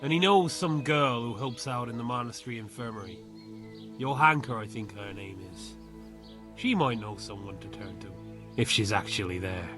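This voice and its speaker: An actor playing a weary, distrustful medieval village leader in a video game, grave and blunt. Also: male voice, mid-range voice, normal speed, over music, close to an everyday voice. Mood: grudging.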